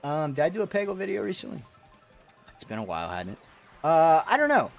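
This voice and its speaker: A man's voice: a little high, wildly swooping pitch, fairly quick, gravelly, soft background music. A man streaming a game, chatting with viewers in a profane, rambling, ranting way. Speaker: gaming streamer. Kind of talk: casual rambling stream chat.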